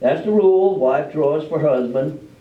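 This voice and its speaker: A man in an amateur readers theater play, playing a brisk, folksy village official who runs a drawing. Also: on the high side, lightly acted. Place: live performance in a library room. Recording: roomy, distant, dull live library recording.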